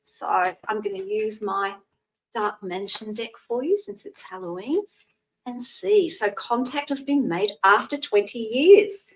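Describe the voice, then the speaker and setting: A Scottish woman in her sixties giving a live tarot reading, chatting in a gentle, reassuring way and using terms of endearment. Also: mid-range voice, a little slow, far from the mic, a little hiss or hum, rough recording. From Scotland. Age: 60s. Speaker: psychic tarot reader.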